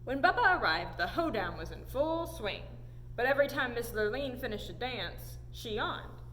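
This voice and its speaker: A woman reading a storybook aloud in an animated storytelling style. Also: a little high, normal speed. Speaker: story time reader. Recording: echoey hall, audible background noise.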